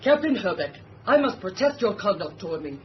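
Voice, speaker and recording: Radio drama civilian official actress: insistent, stiff, easily outraged, dull lo-fi sound. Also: female voice, medium pitch, sing-song, a little fast, lightly acted. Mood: indignant. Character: prim, insistent, indignant female Pentagon civilian official. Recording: dull lo-fi radio recording with hiss.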